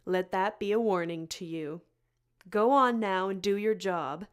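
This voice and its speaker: A woman's voice: mid-range voice, measured pace, smooth, natural voice. A woman playing a curt, authoritative school official who bosses staff and pupils in a comic audio drama. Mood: stern.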